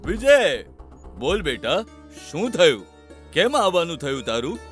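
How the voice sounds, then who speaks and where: A man playing a scheming fake guru in a children's cartoon, with drawn-out phrases and feigned piety. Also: on the high side, sing-song, soft background music. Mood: falsely pious. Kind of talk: Gujarati children's cartoon voice acting.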